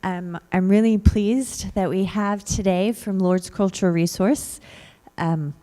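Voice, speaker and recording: A woman's voice: medium pitch, steady tone, measured pace, dark tone. A woman emceeing a conference session and introducing the speakers casually, with fillers.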